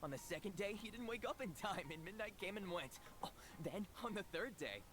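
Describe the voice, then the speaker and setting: A man's voice: high voice, expressive, a little fast, noisy background. A man voicing a chatty, breezy writer in a video game, matter-of-fact as he talks. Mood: candid, amused. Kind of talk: video game character acting. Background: music.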